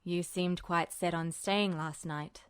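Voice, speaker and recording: A composed older man voiced by a woman reader in a story, set low. He speaks formally and with measured understatement, guarded yet caring. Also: steady tone, smooth, dry recording, clean studio sound. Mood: steady, concerned.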